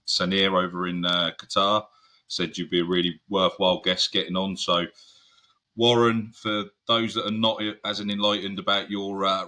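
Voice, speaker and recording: Deep-voiced chatty podcast host. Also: male voice, pushed and bright. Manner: informal, chatty, many fillers. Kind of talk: podcast interview.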